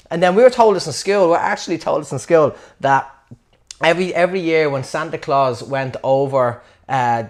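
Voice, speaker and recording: Wry Dublin Irish man telling a story. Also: medium pitch. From Dublin, Ireland. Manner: wry, rambling, self-mocking. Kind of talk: casual comic storytelling.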